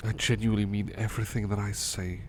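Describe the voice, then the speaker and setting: A man playing a tender, courteous butler in love, murmuring gently and hesitantly in a soft romantic ASMR roleplay. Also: lowish voice, flat delivery, quick pace, a little hiss or hum, lightly acted. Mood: adoring.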